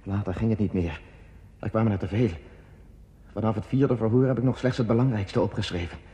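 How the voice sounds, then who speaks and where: A male actor plays a lonely border guard commander in a radio drama. His voice is on the low side, brooding and resigned. He speaks in terse, deliberate phrases, as if reporting to himself. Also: warm tone, close to an everyday voice. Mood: weary, resigned. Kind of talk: introspective radio play monologue.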